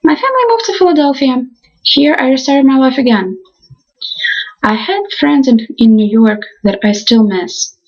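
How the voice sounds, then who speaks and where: A young woman reads her class presentation in an earnest, halting way, often stopping to restart her sentences. Her voice sits a little high and sounds breathy. The recording is muffled and lo-fi. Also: expressive, darkish tone. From Ukraine. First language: Russian. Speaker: college student.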